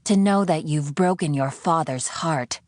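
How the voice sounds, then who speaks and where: Woman doing a condescending captor voice for an audiobook, slow and preachy, sanctimonious, very dry recording. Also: low-pitched, a little mellow, no echo. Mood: preachy, coaxing. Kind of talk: audiobook character acting.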